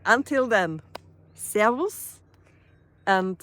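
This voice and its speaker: A woman with an Austrian accent giving a scripted promotional self-introduction as a wedding celebrant, in a reflective, measured manner. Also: medium pitch, animated, a bit bright.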